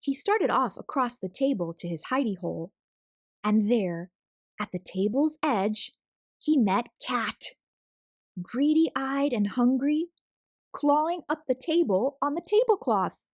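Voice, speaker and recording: A woman reading a children's picture book aloud as a storyteller, expressive and deliberate, drawing out words for emphasis. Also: on the high side, no room sound, clean studio sound. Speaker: storyteller, read-aloud host.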